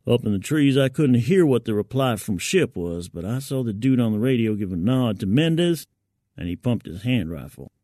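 A man's voice: medium pitch, sing-song, moderate pace, warm tone, no room sound, studio quality. A man narrating first-person fiction dramatically, in a gritty, colloquial storytelling manner.

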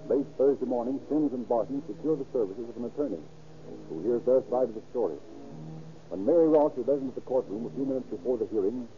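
A man's voice: mid-range voice, slight background noise, lightly acted. A man acting as the urgent, formal narrator of an old-time radio crime drama. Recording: tinny old radio recording. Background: music.

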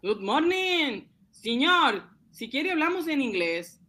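A man's voice: very high voice, sing-song, slow pace, pushed and bright, exaggerated voice. An actor in a comic radio drama playing an eager, blustering immigrant man of forty who stammers when flustered. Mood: eager, polite. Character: excitable Latin American immigrant man, forty, eager upstart banker.

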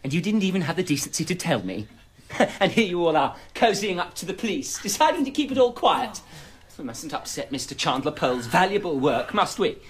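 Volatile, bitter man, swooping pitch. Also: sing-song, brisk, a little hiss or hum. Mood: outraged grief. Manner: impassioned, sarcastic, accusatory. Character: bitter, volatile, grief-stricken male houseguest, theatrical accuser. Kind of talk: radio drama acting.

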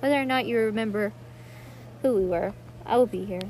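A woman's voice: on the high side, a little fast, a little mellow, a little hiss or hum, close to an everyday voice. A woman playing an earnest, pleading young sister in a dramatic monologue reading. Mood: wistful, regretful.

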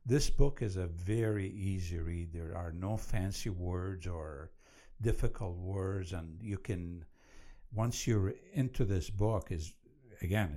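Mellow, low-voiced Iraqi-accented man. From Iraq. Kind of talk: conversational book discussion.

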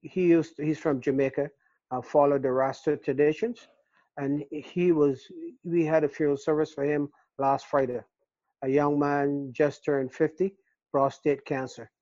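An older man from Barbados with a Barbadian accent, telling his story in a casual interview, earnest and halting with repeated words. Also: fairly high pitch, fairly even intonation, a little mellow, dry recording. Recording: dull, dry lo-fi recording.

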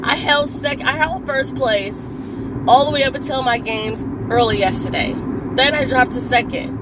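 Woman, hoarse and raspy, casual chatty vlog, in a car, road noise behind her. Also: high-pitched, moderate pace. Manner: chatty, laughs often, clears throat. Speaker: vlogger. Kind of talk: casual chat while driving. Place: in a car on a commute.

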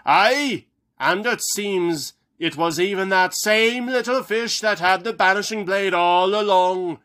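A man voicing a stout, brash male dwarf general who talks loud and rough in a storybook reading. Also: sits high, takes it slowly, pitched above the performer's own voice, full character voice. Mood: boisterous. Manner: loud, blustering, rough-spoken.

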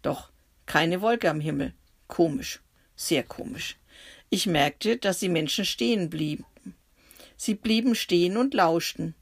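A woman narrating a bible story for children in the first person, gentle and reflective. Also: fairly low pitch, animated, measured pace, creaky, husky.

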